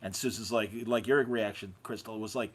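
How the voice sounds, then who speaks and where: A man's voice: on the low side, fairly even intonation, moderate pace. A man hosting a podcast in casual conversation, telling anecdotes with enthusiasm. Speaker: podcast host. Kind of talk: casual chat.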